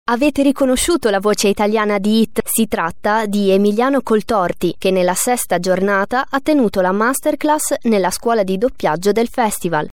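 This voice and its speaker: A woman's voice: medium pitch, moderate pace, few pauses. A woman narrating a scripted festival report as one of its hosts.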